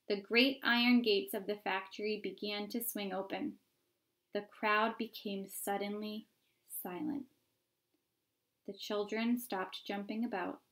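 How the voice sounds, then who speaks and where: A woman's voice: medium pitch, a bit bright. A woman in her thirties reading a children's book aloud as narrator. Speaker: reader. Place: indoor room at home by a window.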